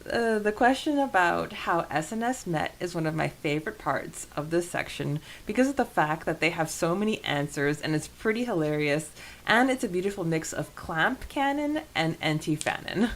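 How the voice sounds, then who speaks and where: A woman's voice: fairly low pitch, lively intonation, moderate pace, a little hiss or hum. A woman co-hosting a podcast in casual fan discussion, with a gushing, giggly manner. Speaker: podcast co-host.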